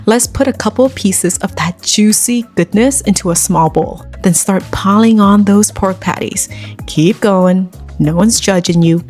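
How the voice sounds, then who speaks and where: A woman's voice: medium pitch, measured pace, a little mellow. Chatty woman hosting a cooking video. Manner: chatty and conversational, with personal asides. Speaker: cooking channel host. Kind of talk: cooking tutorial with personal chat. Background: faint background music.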